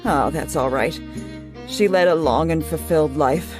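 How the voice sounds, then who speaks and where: Lower-voiced, droll woman over music acted. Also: a little slow. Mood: wry, wistful.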